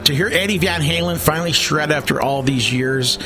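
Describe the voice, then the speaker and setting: A man's voice: on the high side, brisk, over music, low-quality recording. A man in his forties from the San Francisco Bay Area, giving a rambling, opinionated sports rundown on a podcast. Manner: rambling, opinionated, with many fillers. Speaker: sports segment co-host. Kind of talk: casual sports commentary.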